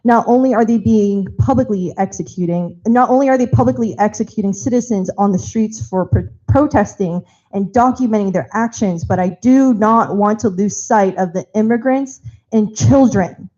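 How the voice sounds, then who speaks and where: A woman's voice: mid-range voice, normal speed, warm tone, dull audio. A woman on a party committee giving a firm, impassioned prepared speech in a large auditorium. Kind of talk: prepared speech at a public meeting.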